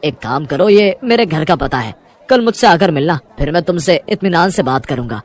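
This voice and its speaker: A man's voice: fairly high pitch, lively intonation, moderate pace, lightly acted. A man voicing a rich, grateful older businessman in a cartoon moral story, friendly and emphatic. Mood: welcoming.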